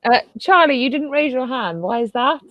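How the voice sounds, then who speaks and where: A woman's voice: sits high, expressive, moderate pace, no room sound, dull audio. Woman on a dry, close mic. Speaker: panelist. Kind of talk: casual group banter.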